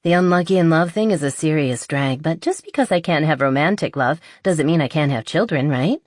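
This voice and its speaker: A woman's voice: low-pitched, brisk, dry recording, clean studio sound. A woman voicing a playful, chatty, impulsive young woman who is a little tipsy, in a romance audiobook. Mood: giddy, chatty.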